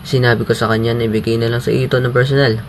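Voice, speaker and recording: A man plays a kindly, respectful neighbour in a radio drama. His voice sits at a medium pitch, over faint noise and ambience. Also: level tone, racing, lightly acted. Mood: kindly, solemn. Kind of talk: amateur radio drama dialogue.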